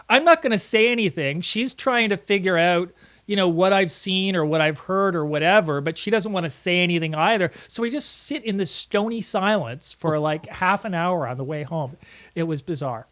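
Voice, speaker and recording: A middle-aged man hosting a comedy show, talking casually and telling anecdotes in an animated way. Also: high voice, brisk. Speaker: host of a live comedy film-riffing show. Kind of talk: casual chat, anecdotal storytelling.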